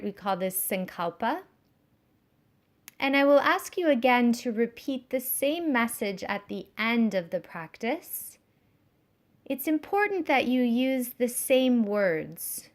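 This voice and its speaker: A woman giving guided yoga nidra instruction in a soothing, measured, gently instructive way. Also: a little high.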